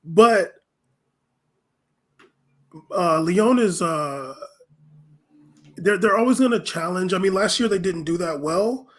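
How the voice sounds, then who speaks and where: Higher-voiced man talking soccer casually. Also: lively intonation. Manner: halting, loosely phrased delivery with repeated "like". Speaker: podcast co-host and soccer pundit. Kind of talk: casual sports discussion.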